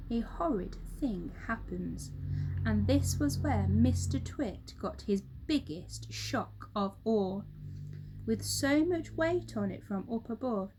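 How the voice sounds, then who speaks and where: A woman narrates a children's story aloud. Her voice is high and very animated in pitch. She takes her time, giving each phrase expression. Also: slight background noise, rough recording.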